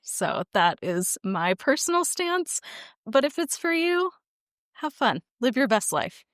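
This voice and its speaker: A woman's voice: high voice, big pitch swings, slow, fairly bright tone, no room sound, studio quality. A woman co-hosting a podcast in casual chat, candid and emphatic. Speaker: podcast co-host.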